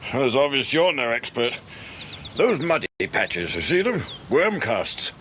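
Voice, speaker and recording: A man's voice: medium pitch, big pitch swings, a little slow, fairly bright tone, a little hiss or hum. An actor in a radio drama playing a fretful British man in his sixties, retired from office work and gloomily fussy about his lawn. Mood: glum, brooding. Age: 60s.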